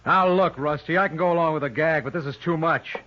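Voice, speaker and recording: A dull, noisy old radio recording of a man playing a cocky lawyer in a detective drama. He is quick-tongued and flippant, tossing out sardonic wisecracks in a slightly high male voice. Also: lightly acted. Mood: wry, wary.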